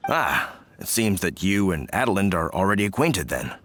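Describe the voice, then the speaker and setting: A man voicing a polite, helpful talking raven attendant with a husky voice in a video game. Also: deep voice, sing-song, normal speed, fairly bright tone, slight background noise. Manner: polite, formal. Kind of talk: anime-style video game voice acting.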